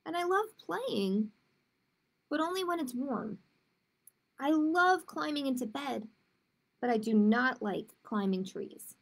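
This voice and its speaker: A woman voicing a gentle, unsure male teddy bear in a read-aloud storybook, earnest and fretful. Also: high-pitched, expressive, slow, creaky, pauses often, heavily acted. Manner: earnest, fretful, simple sentences.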